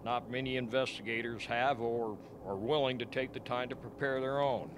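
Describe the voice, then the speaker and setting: A man acts out a frank, grim scientist doctor in a radio play. He explains in a deliberate way at a middling pitch, over faint background noise. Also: steady tone, natural voice. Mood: measured explaining. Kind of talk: amateur radio play acting.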